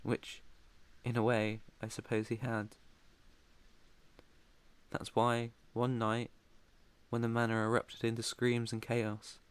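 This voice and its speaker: A British man playing a protective, haunted yet tender vampire master in an intimate ASMR roleplay, speaking softly, earnestly and deliberately. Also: medium pitch, completely flat delivery, clear, resonant tone, lightly acted. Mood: haunted, solemn.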